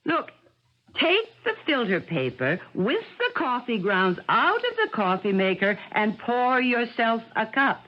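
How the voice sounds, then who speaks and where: An actress playing a fussy, affectionate but sharp-tongued middle-aged American mother in a radio drama, chiding and wry. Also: fairly high pitch, wildly swooping pitch, unhurried. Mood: exasperated, chiding. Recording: muffled old radio broadcast.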